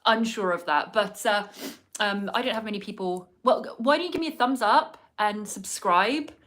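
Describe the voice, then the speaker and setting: A woman's voice: mid-range voice, moderate pace, fairly bright tone. An English woman on a casual livestream, chatting while doing her makeup at home, rambling with many fillers and asides to her viewers. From England. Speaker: livestreaming vlogger. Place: home indoors in cold winter weather.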